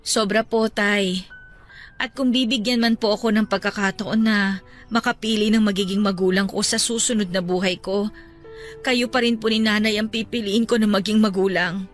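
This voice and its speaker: An actress playing a gentle, respectful young adult daughter consoling her father in an emotional radio drama, earnest and pleading. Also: medium pitch, steady tone, normal speed, natural voice. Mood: affectionate, reassuring. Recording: music under the voice. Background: music.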